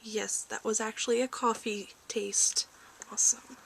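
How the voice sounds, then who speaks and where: A woman with a fairly high, soft voice that often drops toward a whisper speaks as a doctor in an ASMR exam roleplay. She is patient and reassuring. There is faint noise on the recording. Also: fairly even intonation, a little slow. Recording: clearly noisy amateur recording.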